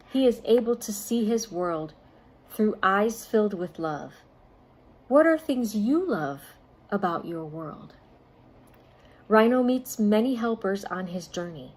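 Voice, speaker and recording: A woman reading aloud and talking directly to children as a storytime volunteer, in deliberate, carefully phrased speech with short pauses. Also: mid-range voice.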